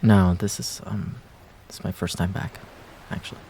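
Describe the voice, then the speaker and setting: A man acting a wistful young ex-boyfriend in an intimate roleplay audio drama, speaking casually and hesitantly, with pauses and trailing phrases. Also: low-pitched, little pitch movement, creaky, mellow, slight background noise. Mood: subdued, hesitant.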